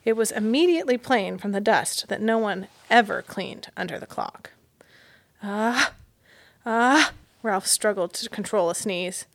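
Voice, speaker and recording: Female storyteller for a children's chapter book, noticeable creak in the voice, expressive and deliberate delivery. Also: medium pitch, slight background noise. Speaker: mystery guest reader, school staff. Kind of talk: children's audiobook read-aloud.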